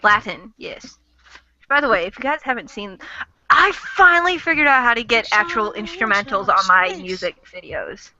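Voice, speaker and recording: A woman's voice: medium pitch, animated, normal speed, pushed and bright. A woman hosting a casual fan chat, rambling with lots of fillers. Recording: lo-fi audio.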